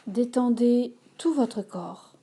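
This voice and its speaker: A woman yoga instructor leading a guided relaxation in a calm, measured manner, giving instructions in short phrases. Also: a little high, breathy edge. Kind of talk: slow guided relaxation meditation.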